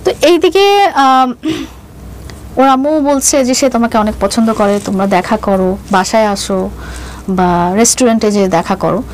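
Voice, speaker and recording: A middle-aged woman with a Bangladeshi accent speaks in a slightly high voice over some background noise. She tells a personal story in conversation, hesitating and repeating phrases, and slips into English here and there. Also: moderate pace, poor audio. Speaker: interview guest.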